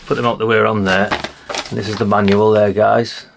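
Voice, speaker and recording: A man's voice: on the low side, level tone, normal speed, vocal fry, slight background noise. A British man giving a casual hands-on show-and-tell as a vlogger, musing aloud in a rambling way with chatty asides to the viewers. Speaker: vlogger showing a haul of vintage CB radios.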